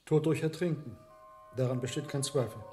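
An actor playing a sober, learned monk herbalist in a radio drama, speaking in a deliberate, matter-of-fact way. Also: male voice, medium pitch, dark tone, soft background music, lightly acted. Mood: sober, thoughtful.

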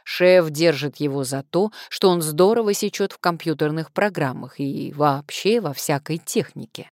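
A woman's voice: deep voice, normal speed, a little mellow, dry recording, clean studio sound. A woman reading first-person fiction expressively as an audiobook narrator.

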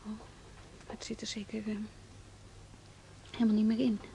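An actress playing a meek, flustered young hospital maid in a radio play, speaking in a hesitant, apologetic way. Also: female voice, a little high, level tone, moderate pace, creaky, warm tone, slight background noise. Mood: worried. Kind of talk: Dutch radio play acting.

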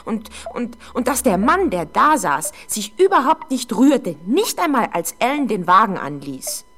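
A young woman playing a frightened, defensive teenage girl under police questioning in a 1970s German radio drama, halting and easily exasperated. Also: a little high, big pitch swings, a bit bright, full character voice. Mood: agitated, defensive. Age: young adult. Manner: hesitant, halting, exasperated when doubted.

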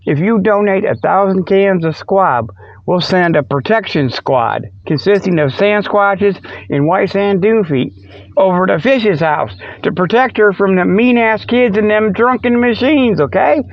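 A man's voice: high-pitched, a little slow, dry recording. A man hosting a radio show in a rambling, folksy, jokey monologue full of homespun exclamations. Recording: muffled, dull lo-fi radio stream.

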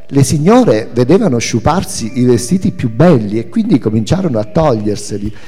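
A man reads a literary memoir aloud with a theatrical storyteller's delivery. His mid-pitched voice is mellow and moves through lively swings of intonation. It rings in a long hall reverb, with music playing faintly underneath. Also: normal speed, breathy edge. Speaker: reader at a spoken-word event with music. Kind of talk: expressive literary reading of a memoir.

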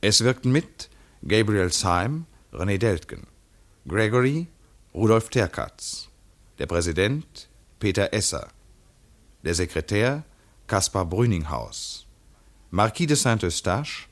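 A man's voice: on the low side, big pitch swings, slow, pauses often. Formal male radio announcer. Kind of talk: broadcast credits reading.

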